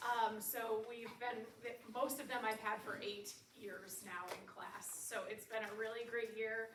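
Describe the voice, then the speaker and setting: A woman's voice: on the high side, flat delivery, measured pace, noisy background. A woman choir director giving a friendly, chatty update at a school board meeting. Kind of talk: casual presentation. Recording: echoey hall from far off.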